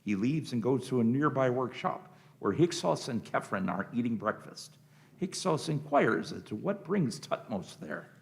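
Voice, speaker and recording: A man's voice: mid-range voice, very animated, moderate pace, vocal fry. A man narrating a scripted radio drama, reading out the scenes and action.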